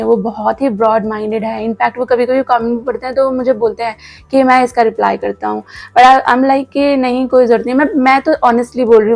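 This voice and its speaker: A woman's voice: a little high, darkish tone. Earnest Indian woman, scratchy throat. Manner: candid, earnest, mixes in English words. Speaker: vlogger. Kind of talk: casual vlog talk to viewers. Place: home kitchen.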